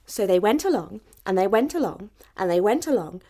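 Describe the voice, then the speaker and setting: A woman storyteller narrating a children's fairy tale in an expressive, rhythmic way. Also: medium pitch, a little fast.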